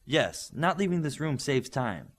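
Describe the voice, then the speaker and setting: A man voicing a brisk, efficient woman teacher in an audiobook. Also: medium pitch, sing-song, pitched above the performer's own voice. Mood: instructive.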